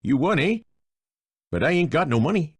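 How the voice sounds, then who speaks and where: A man's voice: on the high side, very animated, normal speed, breathy edge, no echo. A man voicing a blustering, gullible guard in a video game. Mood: cocky, sheepish. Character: gullible Canadian lumber-camp guard, betting and blustering.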